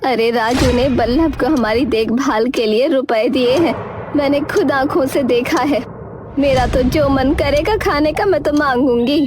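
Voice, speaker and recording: High-pitched, grumbling old village woman played by a woman. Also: measured pace, background noise. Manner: complaining, bossy. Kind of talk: Hindi children's cartoon voice acting.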